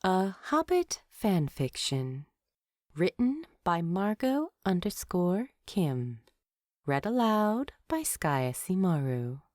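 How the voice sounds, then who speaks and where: Slow, expressive woman storyteller. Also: lowish voice, no echo, studio quality. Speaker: amateur audiobook narrator. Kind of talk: expressive scripted story narration.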